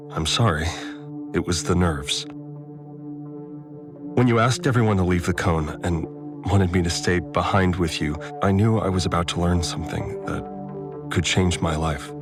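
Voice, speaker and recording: A low-voiced farmer weighed down by guilt, giving a confessional monologue in an audio drama. He is anxious and apologetic, feeling his way haltingly through his words over prominent music. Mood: solemn, apologetic.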